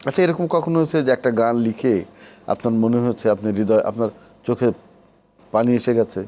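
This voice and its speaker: A man's voice: medium pitch, fairly even intonation, a little fast, warm tone. A man with a Bangladeshi accent hosting a conversational interview, prompting and echoing back what he hears. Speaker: interview host, poet.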